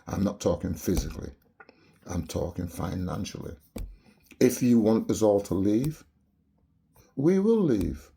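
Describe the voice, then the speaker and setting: Low husky Barbadian man ranting. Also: dark tone. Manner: preachy, repeats rhetorical "yeah?" tags. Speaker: vlogger. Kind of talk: impassioned monologue to camera.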